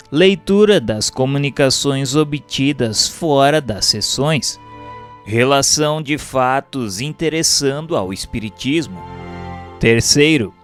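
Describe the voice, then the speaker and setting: A Brazilian man reading a book aloud as scripted audiobook narration, in a measured, formal way. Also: medium pitch.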